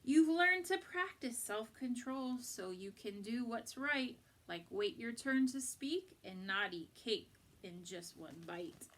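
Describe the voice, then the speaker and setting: Slow-paced woman reading a children's storybook. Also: a little high, lively intonation, fairly bright tone. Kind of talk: expressive picture book read-aloud.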